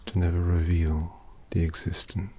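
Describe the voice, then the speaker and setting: A performer in an ASMR roleplay voicing a suave, possessive vampire painter who dotes on a captive in a breathy whisper, slowly and with menacing tenderness, close to the microphone. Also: sits low, little pitch movement, warm tone, slight background noise, natural voice. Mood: hushed, confiding. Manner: slow, deliberate, indulgent, menacingly tender.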